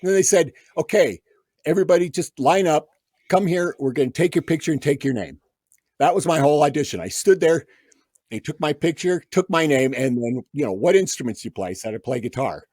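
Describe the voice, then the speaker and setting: Animated storytelling man, lively pitch. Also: a little fast, husky, no echo, studio quality. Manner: animated anecdote teller, acts out quoted voices. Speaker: guest musician. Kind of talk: casual storytelling.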